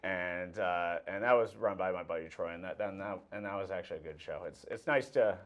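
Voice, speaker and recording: A man in his thirties chatting casually as a comedian guest, stumbling over phrases and dropping dry jokes. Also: low-pitched. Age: 30s. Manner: halting, restarts phrases, dry joking asides. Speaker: stand-up comedian, interview guest. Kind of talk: casual joking interview chat. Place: small indoor TV studio set. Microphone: lapel mic.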